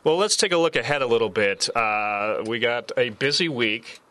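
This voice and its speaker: A man's voice: medium pitch, lively intonation, moderate pace, a bit bright, dry recording. An American man hosting a sports discussion, talking casually and putting questions to his panel. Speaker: sports talk show host.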